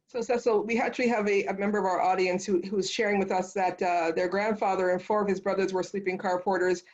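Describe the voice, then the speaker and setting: Through slightly dull, lo-fi audio, a woman moderates a panel in a mid-pitched voice. She is chatty and affirming as she hands questions over to the guests. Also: fairly even intonation, quick pace, darkish tone. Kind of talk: conversational moderating, relaying audience questions.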